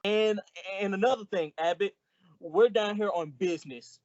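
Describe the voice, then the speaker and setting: A performer playing a childlike, wisecracking, excitable male comedian sidekick in a 1940s-style radio comedy. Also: medium pitch, moderate pace, dry recording, dull audio, natural voice. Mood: boastful, joking. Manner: exclamatory, wisecracking.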